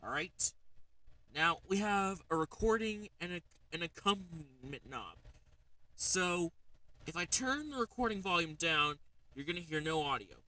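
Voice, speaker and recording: A man's voice: a little high, lively intonation, a little slow, sharp tone, recorded from a distance, poor audio. A man hosting a casual livestream, rambling with lots of fillers as he shows off a gadget. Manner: rambling, frequent fillers and "alright?" check-ins. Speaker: streamer.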